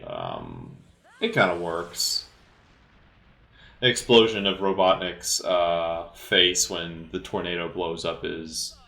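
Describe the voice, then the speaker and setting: A man giving casual, unscripted film commentary in a halting way, often repeating his words. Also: low-pitched, pushed and bright. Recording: roomy, echoey sound.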